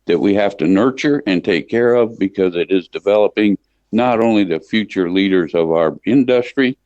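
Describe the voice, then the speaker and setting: Very deep-voiced older American man. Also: darkish tone. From Michigan, United States. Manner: plain-spoken, folksy, halting with repeated words. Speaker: interview guest, livestock association chief executive. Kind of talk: conversational interview, reminiscing. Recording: dull lo-fi line in a roomy space.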